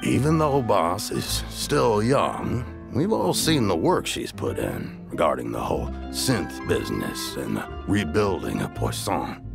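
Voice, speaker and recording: An actor voicing a gruff, loyal, older-sounding male clan member in a video game, with a gravelly voice. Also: deep voice, sing-song, unhurried, few pauses, heavily acted. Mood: respectful. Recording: music clearly behind the voice.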